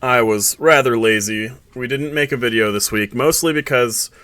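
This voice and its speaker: Game master, male, cheerful profane banter, bright tone. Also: mid-range voice, normal speed, no room sound. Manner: joking, profane, runs the session. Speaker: dungeon master. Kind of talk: casual chat before a tabletop game.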